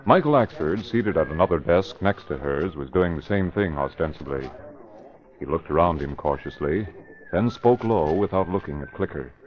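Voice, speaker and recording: A man narrating a vintage radio drama in a measured, dramatic manner. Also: sits low, lively intonation. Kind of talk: scripted narration. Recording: muffled vintage radio broadcast.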